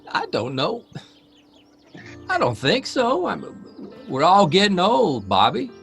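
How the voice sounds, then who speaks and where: Folksy Midwestern columnist, slightly high voice with lively swings, period radio drama. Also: male voice, slow, vocal fry, faint music behind, heavily acted. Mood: guarded. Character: folksy Midwestern newspaper columnist, good-humored, traveling man.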